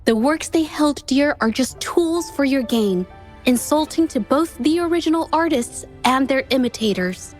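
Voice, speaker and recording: A shy young painter. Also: female voice, high-pitched, animated, moderate pace. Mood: resolute. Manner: soft, hesitant, stammers when flustered. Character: shy young woman painter, gentle and earnest. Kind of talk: game voice acting. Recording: game audio with faint music underneath.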